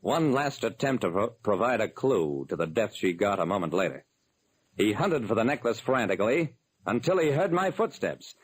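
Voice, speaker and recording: An actor playing a hard-boiled detective who recounts a case in terse, dramatic old-time radio narration. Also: male voice, lowish voice, big pitch swings, moderate pace, husky, low-quality recording. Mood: grim, matter-of-fact. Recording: vintage radio broadcast recording.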